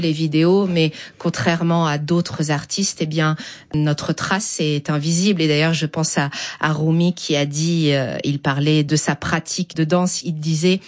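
A middle-aged woman, a dancer, speaking in a radio interview in a hesitant, thoughtful way with many pauses and fillers. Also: low voice. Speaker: dancer and guest.

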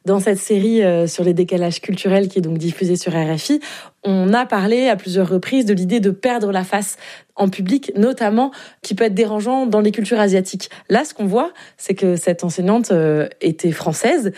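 Radio host, woman with a mellow voice. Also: mid-range voice, normal speed. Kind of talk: radio presenting and interviewing.

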